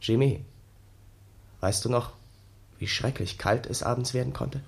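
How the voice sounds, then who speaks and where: An actor playing a haunted, bitter young ex-soldier, telling his story wearily and dramatically in a gravelly, husky voice for a 1960s German radio play. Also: mid-range voice, unhurried, full character voice. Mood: haunted recollection.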